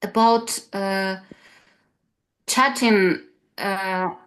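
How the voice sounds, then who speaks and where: A woman's voice: medium pitch, extremely slow, fairly bright tone. A woman taking part in a webinar asks a hesitant question over a video call, with many fillers. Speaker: webinar participant.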